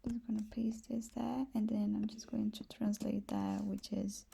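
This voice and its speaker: A soft-spoken woman with a Brazilian accent, a native Portuguese speaker, giving a casual step-by-step screencast tutorial. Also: medium pitch, level tone, normal speed, gravelly, slight background noise. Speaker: programming tutorial host.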